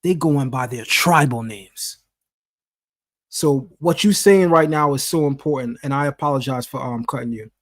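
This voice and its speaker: A man's voice: medium pitch, moderate pace. A man hosting a discussion, talking emphatically. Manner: emphatic, preacher-like call and response. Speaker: host. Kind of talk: casual discussion with a guest.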